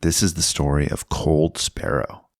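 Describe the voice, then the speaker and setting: Very deep, gravelly male promo narrator. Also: a little slow, dry recording, studio quality. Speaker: podcast host introducing a show. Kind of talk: scripted dramatic promo narration.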